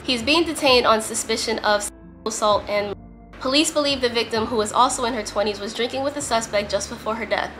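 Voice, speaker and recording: Bright, high-voiced woman, slightly congested. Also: slight background noise. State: congested, getting over a cough. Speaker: vlogger, host. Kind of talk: casual sit-down chat to camera, some reading aloud.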